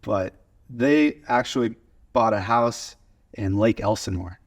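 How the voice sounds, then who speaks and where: Low-voiced young American man telling his story. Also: takes it slowly. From small town in Oklahoma, USA. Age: 20s. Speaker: podcast guest, video studio owner. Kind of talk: casual interview storytelling. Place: converted garage video studio.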